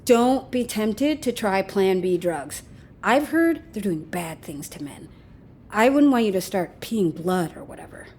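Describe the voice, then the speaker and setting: A woman's voice: mid-range voice, expressive, unhurried, darkish tone, natural voice. A woman playing a flippant, unrepentant lover in an audio drama, with a breezy, casual, needling manner. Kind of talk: naturalistic audio drama acting.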